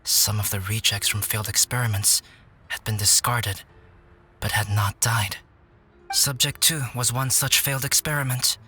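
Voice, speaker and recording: A man playing a calm, scholarly young alchemist in a video game, speaking in a measured, articulate way. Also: lowish voice. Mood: solemn storytelling. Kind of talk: video game voice acting, storytelling.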